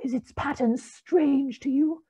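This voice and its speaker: A woman's voice: sits high, a little slow, dark tone, dull audio, voice pushed up. A woman voicing the anguished ghost of an old businessman, weighed down by chains, wailing and drawing out her words. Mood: mournful.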